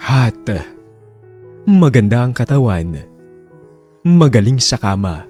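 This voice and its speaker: Animated Filipino male storyteller. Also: mid-range voice. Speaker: radio drama storyteller. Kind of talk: reading a confessional letter as dramatic narration.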